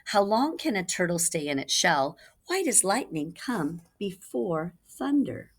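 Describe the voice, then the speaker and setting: A woman reads a storybook aloud to young children in a low-pitched voice. Her delivery is very animated, rising and dipping widely, with dramatic stress on key words in the manner of a reading teacher. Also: measured pace, slightly rough. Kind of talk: expressive children's read-aloud.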